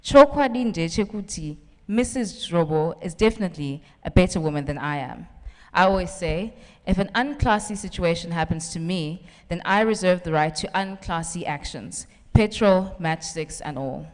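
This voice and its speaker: A woman's voice: fairly low pitch, animated, normal speed, hardly any pauses, close to an everyday voice. A woman reading aloud who voices a bold, defiant young woman, heard in a reverberant hall.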